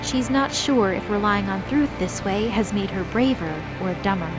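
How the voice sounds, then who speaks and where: A woman's voice: medium pitch, animated, moderate pace, lightly acted, poor audio. A woman delivering dramatic scripted narration as the narrator of an audio drama. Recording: loud dramatic music behind the voice.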